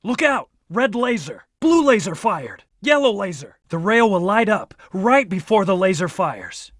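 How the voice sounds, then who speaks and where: A man's voice: sits high, measured pace, dry recording, studio quality. A man playing a brooding, proud young antihero in a video game, speaking in terse, serious commands with a hard edge. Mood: urgent, alert. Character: brooding, proud, amnesiac male antihero warrior. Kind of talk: short in-game hint and battle lines.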